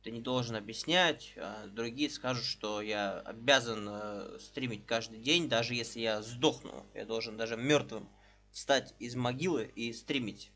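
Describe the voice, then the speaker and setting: A man's voice: mid-range voice, a little slow, a bit bright. A man in his thirties on a live stream, chatting casually from a small room into a headset and greeting viewers one by one. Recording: game music under the voice.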